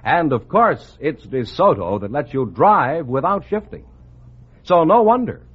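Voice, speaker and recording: Animated 1950s male radio announcer. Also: measured pace, breathy edge. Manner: polished, enthusiastic sales pitch. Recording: dull old radio recording.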